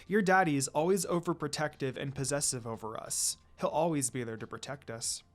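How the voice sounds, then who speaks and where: A storyteller voicing a gentle, affectionate young expectant mother who is a cheerful, trusting priestess in a fan fiction. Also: medium pitch, fairly quick, voice pushed down, close to an everyday voice. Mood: tender.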